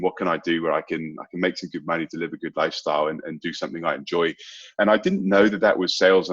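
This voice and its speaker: A man's voice: sits low, fairly bright tone, lo-fi sound. A man in his thirties from Bermuda with a British accent touched by American and Australian sounds, chatting casually as an interview guest. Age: 30s. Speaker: guest, sales executive.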